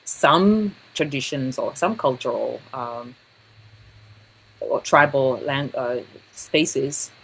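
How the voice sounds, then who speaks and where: A woman from Kenya explaining things in a discussion, thinking aloud in a halting way. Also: extremely low pitch, husky, low-quality recording.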